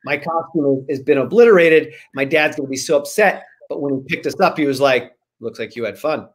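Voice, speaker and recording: A man's voice: on the high side, fairly quick. A man in his forties with an American accent, chatting casually and playfully as a guest on a video call, rambling as he tells stories. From Rhode Island, United States. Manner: animated, gesturing, playful, rambling. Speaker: guest author and screenwriter. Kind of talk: casual storytelling in an online interview. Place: small home room, indoors. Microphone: computer mic on a video call.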